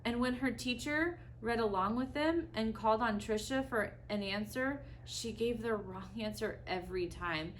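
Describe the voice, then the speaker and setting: A woman reading a children's story aloud expressively, with deliberate pauses and dramatic emphasis. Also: on the high side, a little hiss or hum.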